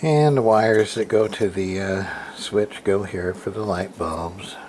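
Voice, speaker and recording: A man giving a casual, unscripted show-and-tell of equipment in a halting, matter-of-fact way, as if muttering to himself. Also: on the low side, darkish tone. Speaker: hobbyist radio repairer, video host. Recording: clearly noisy amateur recording.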